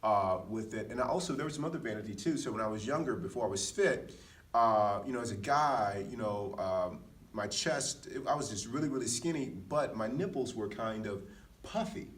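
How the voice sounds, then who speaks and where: A man's voice: lowish voice, lively intonation, moderate pace. Candid American man, slightly distant mic. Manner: candid, self-deprecating, peppers talk with "man" and "dude". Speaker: podcast speaker, former Marine. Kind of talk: casual personal storytelling.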